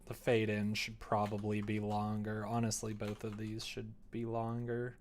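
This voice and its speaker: A man's voice: on the low side, completely flat delivery, normal speed, darkish tone. Young man casually thinking aloud on stream. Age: young adult. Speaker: livestreamer developing a visual novel. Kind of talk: casual livestream chat while working.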